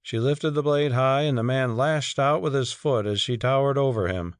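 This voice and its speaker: A man's voice: medium pitch, moderate pace, no room sound, clean studio sound. A man narrating a story as a scripted, dramatic audiobook reading.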